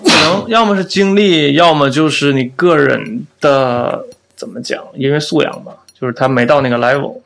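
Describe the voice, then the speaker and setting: A man's voice: on the high side, normal speed, creaky. A man co-hosting a casual music podcast, chatting informally. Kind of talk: casual chat.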